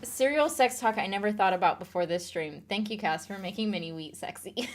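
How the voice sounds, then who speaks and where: A woman's voice: mid-range voice, a little fast, a little hiss or hum. A woman streamer chatting casually on a live stream, reading viewer comments aloud and laughing along.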